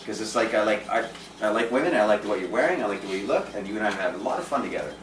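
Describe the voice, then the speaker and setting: Guy rambling fast about dating, direct and intense, mic's a bit far off, roomy echo. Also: fairly low pitch, expressive, a bit bright. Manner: intense, direct, lots of restarts. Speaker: dating coach. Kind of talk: casual group chat. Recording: echoey room from a distance, faint noise.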